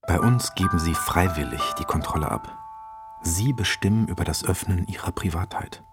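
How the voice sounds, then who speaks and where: Low-voiced, puzzled hotel guide. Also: male voice, animated, normal speed. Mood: official, then puzzled. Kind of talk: radio drama monologue. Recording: music behind the voice.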